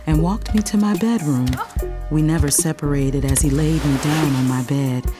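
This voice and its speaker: Actress as a low-voiced, sultry female narrator. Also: moderate pace, lightly acted. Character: sultry woman narrating her own love life. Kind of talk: scripted first-person narration. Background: music.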